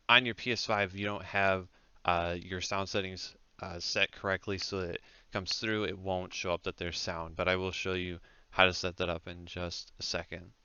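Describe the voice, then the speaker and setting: Male tutorial presenter, informal and halting, bright crisp delivery on a close, lo-fi headset. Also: low voice, no echo. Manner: informal, hesitant step-by-step explaining. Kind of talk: casual software tutorial narration. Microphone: close headset microphone. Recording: dull, lo-fi headset audio.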